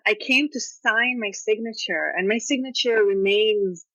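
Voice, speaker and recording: Bright, dry-voiced Israeli woman telling stories. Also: medium pitch, unhurried, no room sound, dull audio. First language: Hebrew. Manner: animated storytelling with frequent "you know" and laughs. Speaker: guest author. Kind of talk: casual podcast interview.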